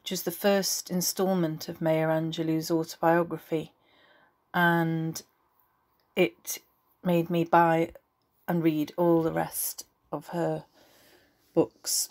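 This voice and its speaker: A woman introducing and reading poetry, pausing between short phrases. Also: low-pitched, level tone, a little mellow. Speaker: poetry reader.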